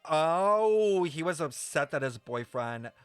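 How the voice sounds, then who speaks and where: A young man giving casual reaction commentary on a game, expressive and slangy, thinking aloud. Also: on the high side, slow pace, clean tone, dry recording, soft background music.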